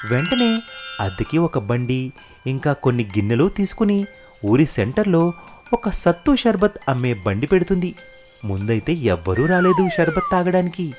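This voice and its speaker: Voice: medium pitch, darkish tone. A narrator reading scripted moral stories for children.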